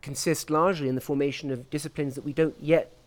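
A man giving reflective interview answers as a scientist, speaking in articulate, measured academic phrases. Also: fairly high pitch, fairly even intonation. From grew up in Portugal, England and the US. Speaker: interviewee, scientist at a research institute.

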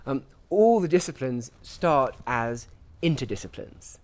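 Guy scientist being interviewed, thoughtful and articulate, medium pitch, academic wording. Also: animated, smooth. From grew up in Portugal, England and the US. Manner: articulate, measured, academic phrasing. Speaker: interviewee, scientist at a research institute. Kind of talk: reflective interview answers.

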